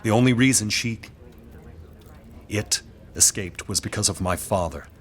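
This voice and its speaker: An actor playing a melancholic, guarded aristocratic lord in a gothic audio drama, speaking in a terse, grave and formal manner. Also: male voice, fairly low pitch, unhurried, close to an everyday voice. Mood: firm, secretive.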